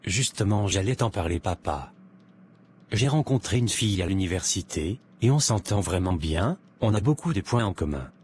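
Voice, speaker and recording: Earnest romantic lead for animation, male actor, early twenties, polite, notably deep voice. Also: expressive, moderate pace, a little mellow, a little hiss or hum. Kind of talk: animated drama dialogue. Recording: music behind the voice.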